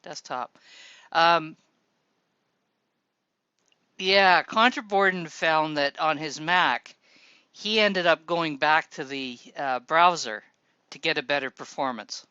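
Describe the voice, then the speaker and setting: A man hosting a casual voice-chat show, relaxed and helpful, talking through people's posts. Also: fairly high pitch, takes it slowly, long pauses, smooth, dry recording, lo-fi sound. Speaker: show host.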